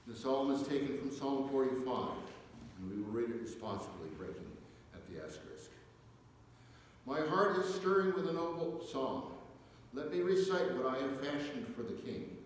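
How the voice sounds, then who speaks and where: A man reading scripture aloud at a church service, with measured, deliberate phrasing and pauses. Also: medium pitch, lively intonation. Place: inside a church, reverberant. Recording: echoey church hall, distant mic, faint noise.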